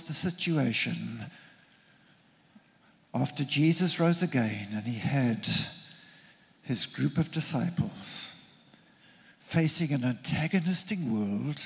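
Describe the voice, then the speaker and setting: High-pitched gravelly male preacher. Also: expressive. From Zimbabwe. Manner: earnest, deliberate, emphatic with repetition. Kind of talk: sermon.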